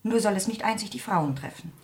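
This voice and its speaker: A woman's voice: medium pitch, animated, a little fast, natural voice. An actress playing a forthright, morally serious young American woman in a radio play, speaking emphatically. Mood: indignant. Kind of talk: 1960s German radio play acting.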